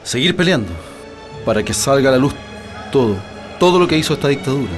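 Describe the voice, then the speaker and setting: A man playing an earnest political prisoner in a radio drama, with a Chilean accent and halting speech. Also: medium pitch, lively intonation, faint music behind, natural voice. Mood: determined. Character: earnest male political prisoner plotting an escape.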